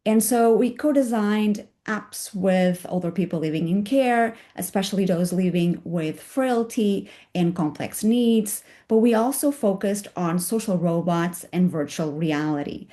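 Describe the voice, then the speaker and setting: A woman giving an academic talk over a video call, her voice raspy from a cold, speaking with some fillers. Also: mid-range voice, a little slow, few pauses, mellow. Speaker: guest speaker. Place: home at night, joining remotely.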